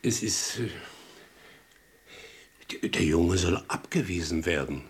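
An actor playing a poor old farmhand father in a radio play, anxious and deferential, devoted to the child, with a halting, rambling way of talking. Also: low-pitched, expressive, breathy edge, full character voice. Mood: humbly pleading. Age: older.

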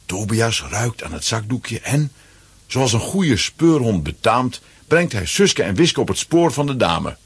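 A man's voice: mid-range voice, sing-song, measured pace, husky, no echo. A man narrating a scripted children's audio play as its storyteller. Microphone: close, dry studio microphone.

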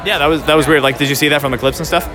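Male podcast host, fairly high voice, casual and halting, roving interviews with clear music behind him. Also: steady tone, a crowd in the background. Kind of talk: casual on-the-spot convention interview. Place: busy public convention space.

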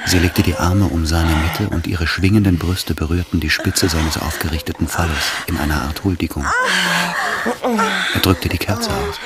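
A man's voice: deep voice, fairly even intonation, moderate pace, heavy background noise. A man delivering scripted literary narration as the narrator of a radio drama. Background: loud music.

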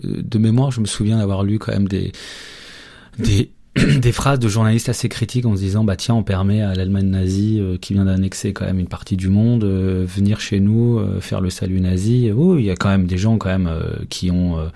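A man's voice: lowish voice, steady tone, normal speed, vocal fry, dark tone. A man speaking as a radio interview guest, colloquial and hesitant, often repeating his words as he explains. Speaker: interview guest, journalist who researched football history.

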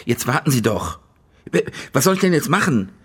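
An actor playing an anxious, blustering stadium boss in a German radio crime drama, stammering and sarcastic, with a husky voice. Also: male voice, mid-range voice, big pitch swings, normal speed. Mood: flustered.

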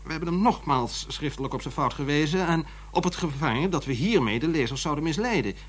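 An actor playing a nervous, fussy but indignant publisher in a radio drama, speaking formally with hesitant stammers. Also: male voice, on the high side, lively intonation, fairly quick. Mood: exasperated.

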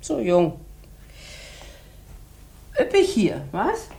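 A woman's voice: medium pitch, wildly swooping pitch, drawn-out words, gravelly, mellow, slightly rough, heavily acted. A woman playing a bored, boozy rich housewife in a 1960s German radio drama, theatrical and sardonic, drawing out her rambling remarks. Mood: haughty, teasing.

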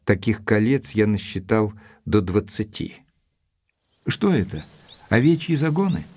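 Low-voiced, reserved gentleman investigator. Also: sing-song, measured pace, slightly rough, full character voice. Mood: sceptical, puzzled. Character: reserved, sceptical gentleman investigator telling his story. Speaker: actor and narrator in an audio drama. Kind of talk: dramatised first-person narration and dialogue.

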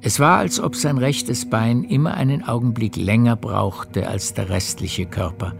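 A man narrating a literary radio play in a measured storytelling manner. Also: mid-range voice, gravelly. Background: music.

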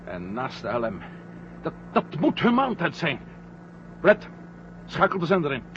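A man's voice: on the high side, huge pitch swings, a little slow, fairly bright tone, full character voice. A man playing a firm, cautious astronaut commander in a vintage science fiction radio play. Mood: intent. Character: male moon-mission astronaut commander, firm, cautious, sometimes confused. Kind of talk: 1970 Dutch science fiction radio drama acting. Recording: muffled, noisy old radio play recording.

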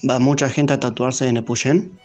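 A man's voice: medium pitch, steady tone, moderate pace, music in the background. A man putting short prepared questions to a guest as a radio interviewer.

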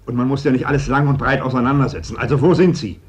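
A man's voice: mid-range voice, steady tone, fast talker, lightly acted. A man playing a blunt, wily middle-aged uncle in a German radio play. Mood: urgent, conspiratorial. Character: blunt, wily uncle seeking hidden rifles. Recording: noisy old radio play recording.

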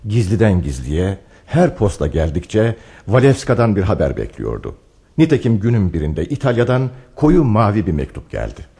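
Solemn storyteller narration for a radio play. The voice is deep and husky, with dramatic delivery that moves widely in pitch. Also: male voice, big pitch swings, normal speed, warm tone, exaggerated voice. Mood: solemn, literary.

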